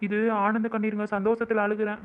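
A man's voice: high-pitched, fairly even intonation, rapid-fire, close to an everyday voice. A man voicing an emotional young wife hoping she is pregnant, pleading and prayerful, in a home-made skit with a tinny phone-like sound. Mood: tearful joy. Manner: pleading, prayerful, weepy with joy.

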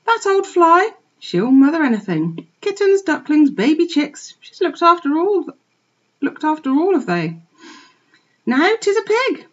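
A cheerful, practical farmer's wife, put on by a woman storyteller, rattling on. Her voice jumps high and lively, and the recording sounds a bit dull. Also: a little slow, heavily acted. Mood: chatty. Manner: rustic dialect, cheerful chatter. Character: chatty old farmer's wife, practical and blunt.

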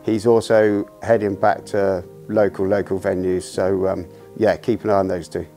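A man with a lowish, mellow voice gives relaxed, hedging interview answers. It is recorded indoors on a lapel mic. Faint music plays underneath. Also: normal speed, gravelly. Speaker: angler interviewee. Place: indoor room.

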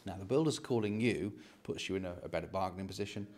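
A man's voice: deep voice, quick pace, darkish tone. A British man in his forties explaining things casually to camera as a property vlog host, recorded on a handheld phone indoors in an empty house. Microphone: handheld phone at arm's length.

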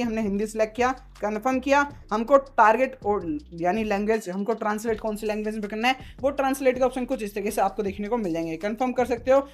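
A man giving a casual phone tutorial as a tech YouTuber, mixing in English terms and leaning on repeated filler phrases. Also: very high-pitched.

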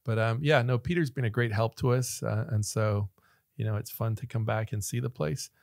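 A middle-aged man from Northern Ireland with an American accent, a relaxed guest telling stories in a casual studio interview. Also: on the low side, normal speed, vocal fry, no room sound. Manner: relaxed storyteller, laughs often, gestures with hands. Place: small podcast studio. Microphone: studio mic on boom arm, headphones on.

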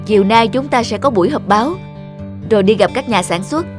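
A man's voice: high voice, expressive, fairly quick, over music. A man voicing a pushy, stern middle-aged talent manager in a cartoon, bossy and insistent. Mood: brisk, insistent. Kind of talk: cartoon voice acting.

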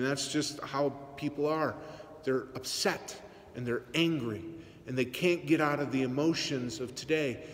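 A priest in his forties preaches in an earnest, measured way, now and then slipping in a light tease. His voice sits at a mid pitch. It rings with the long reverb of a big church, caught by a phone on the pulpit. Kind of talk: sermon. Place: large church sanctuary. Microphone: phone camera on the pulpit, aimed up.

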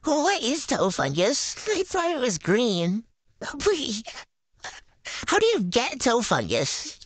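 A giggly woman bantering through a silly game video, her voice bouncing up and down in pitch as she brags in teen slang. She sounds close to the mic in a dead, dry space. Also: huge pitch swings, takes it slowly, no room sound. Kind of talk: playful improvised banter voicing game characters.

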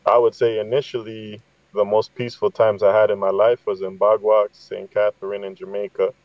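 A man's voice: on the low side, little pitch movement, brisk. A middle-aged man from Guatemala telling reflective, conversational stories as a podcast guest over a video call. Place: inside a parked vehicle. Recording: slightly dull, roomy sound.

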